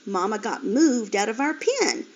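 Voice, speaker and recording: A woman's voice: fairly high pitch, big pitch swings, unhurried, a little hiss or hum. A woman voicing a curious young female piglet in a storybook reading. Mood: questioning. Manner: eager, questioning. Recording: dull lo-fi recording.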